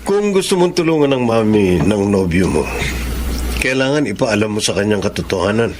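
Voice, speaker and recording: A man's voice: fairly low pitch, big pitch swings. Principled male doctor, noisy recording. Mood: grave. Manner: measured, advising. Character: principled, caring male doctor giving grave advice. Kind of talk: Filipino radio drama acting.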